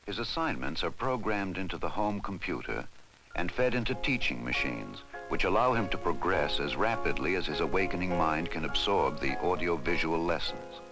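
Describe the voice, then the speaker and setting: Male narrator, 1960s industrial film. Low voice, animated intonation, formal and deliberate, music underneath. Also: low-quality recording. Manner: measured, formal, deliberate delivery.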